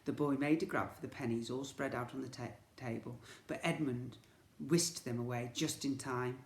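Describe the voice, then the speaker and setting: A man reading a children's story as a teacher would, with dramatic, expressive narration. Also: mid-range voice, moderate pace. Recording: echoey room.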